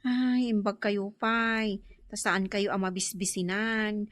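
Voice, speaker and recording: A woman's voice: medium pitch, fairly even intonation, slow pace, fairly bright tone, no room sound. A woman with an Ilocano accent playing a long-suffering young wife and mother in a radio drama. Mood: weary, subdued. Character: long-suffering poor young wife and mother, scolding her idle husband. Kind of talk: Filipino radio drama acting.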